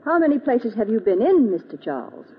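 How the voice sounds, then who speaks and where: An actress playing a refined, concerned, witty detective's wife in an old radio drama. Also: female voice, on the high side, big pitch swings, a little fast. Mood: wry. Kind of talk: 1930s-40s radio drama acting. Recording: noisy vintage radio, crowd din, narrow band.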